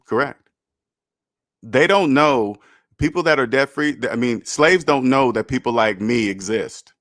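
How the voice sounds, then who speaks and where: A man's voice: medium pitch, unhurried, fairly bright tone, no room sound, studio quality. A man in his fifties hosting a call-in money talk, emphatic and repeating his points. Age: 50s. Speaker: host.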